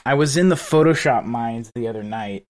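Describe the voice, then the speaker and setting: A man's voice: medium pitch, normal speed, a little mellow. A man co-hosting a podcast in casual chat, rambling and laughing often between thoughts. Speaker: podcast co-host.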